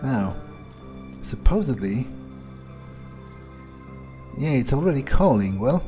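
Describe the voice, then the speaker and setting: A man voicing a cynical, bored male vampire in an improvised game playthrough, offhand, deadpan and sarcastic. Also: mid-range voice, slow pace, music in the background. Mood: scornful, musing. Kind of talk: improvised in-character gaming playthrough.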